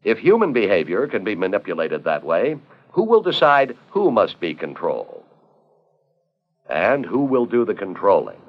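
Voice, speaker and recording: Animated American man narrating, vintage film sound. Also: on the low side, normal speed. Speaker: documentary narrator. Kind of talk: scripted educational film narration. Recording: dull 1970s 16mm film soundtrack with music. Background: background music.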